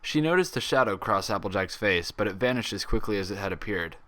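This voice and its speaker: Guy reading a fanfic out loud, narrator style, really animated intonation. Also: on the low side, fast. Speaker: fan fiction reader. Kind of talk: scripted story narration.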